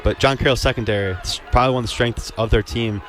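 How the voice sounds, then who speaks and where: A man's voice: mid-range voice, steady tone, fast talker, a bit bright. A man giving informal, plain-spoken color analysis during a live radio football broadcast. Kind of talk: live sports analysis, conversational.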